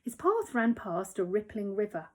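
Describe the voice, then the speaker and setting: A primary school teacher reading a children's story aloud at home, expressive, dropping to whispers for the suspenseful parts. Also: female voice, medium pitch, normal speed.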